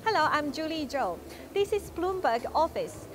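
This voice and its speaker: A woman's voice: sits high, sing-song, moderate pace. A woman reporter delivering a scripted piece to camera. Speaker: on-location reporter. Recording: clear ambient noise on location.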